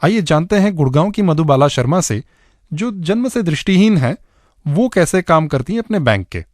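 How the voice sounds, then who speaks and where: An Indian man hosts a radio programme, reading scripted narration in a fairly high male voice. The sound is dry and close, with very little room in it. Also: expressive, normal speed, darkish tone, dry recording. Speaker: radio show host.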